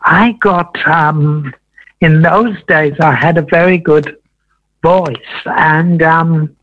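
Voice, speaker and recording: Old welsh-born guy in his 80s, slow rambly storytelling, slightly high voice, dry muffled radio sound. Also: steady tone, dry recording. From Wales. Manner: slow, meandering, with many ums. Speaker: guest, veteran entertainer. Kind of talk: relaxed radio interview storytelling.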